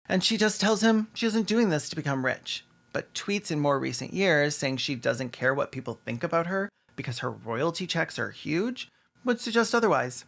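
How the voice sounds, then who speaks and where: A man's voice: fairly high pitch, gravelly, fairly bright tone. A man narrating scripted comedic commentary in a wry, sarcastic manner. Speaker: video essay narrator.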